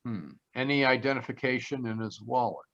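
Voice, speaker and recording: Man to play a smooth-talking, teasing radio gumshoe, mid-pitched, unflappable, on slightly muffled call audio. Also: fairly even intonation, fairly quick, fairly bright tone, lightly acted. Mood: businesslike, wry. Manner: breezy, teasing. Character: wisecracking, flirtatious male private detective, cool and unflappable. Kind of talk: old-time radio detective drama acting. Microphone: video call. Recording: dull video-call audio.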